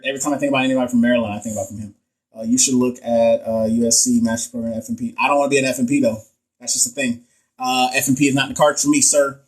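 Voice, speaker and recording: A man's voice: medium pitch, husky, low-quality recording. A man from Texas, a nurse educator hosting a casual live stream, joking in slang while he reads viewer comments and reviews quiz answers.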